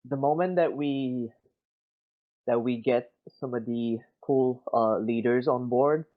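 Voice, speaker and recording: A man's voice: medium pitch, slow pace. French-Canadian man on a tinny voice-chat line. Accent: Québécois French. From Quebec City, Canada. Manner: hesitant, many fillers and restarts, thoughtful. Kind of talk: casual discussion.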